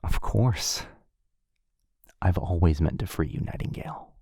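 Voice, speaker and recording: A gentle, devoted vampire lover, acted by a man for romantic ASMR roleplay. His voice is deep and very breathy, often slipping into a whisper. He speaks earnestly and haltingly, close and dry. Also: no echo, heavily acted. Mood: reassuring. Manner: soft, halting, earnest delivery.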